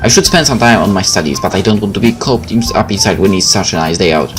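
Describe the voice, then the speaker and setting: Animated man narrating a visual novel. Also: sits low, fast talker, music in the background. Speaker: let's player. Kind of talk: reading visual novel narration and dialogue aloud, with casual asides.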